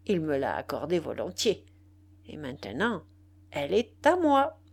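A woman's voice: low-pitched, huge pitch swings, measured pace, vocal fry, pitched below the performer's own voice, exaggerated voice. A woman voicing a vengeful, gloating male dwarf sorcerer in an audiobook, with theatrical, commanding emphasis. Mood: gloating, menacing. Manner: theatrical, emphatic, cursing with Spanish words mixed in.

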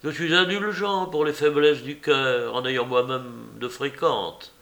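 A man's voice: mid-range voice, steady tone, unhurried, a bit bright. A man voicing a cheeky, mischievous joker in an audiobook, with flowery speech and a teasing, mock-pompous manner. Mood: sly, teasing. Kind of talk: audiobook character voice.

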